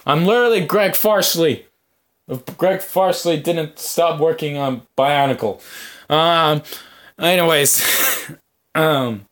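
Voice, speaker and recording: Slow-talking, bright-voiced man hosting a podcast. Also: fairly high pitch. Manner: halting, hesitant delivery with long filler pauses. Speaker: podcast host. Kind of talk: casual rambling podcast talk to viewers.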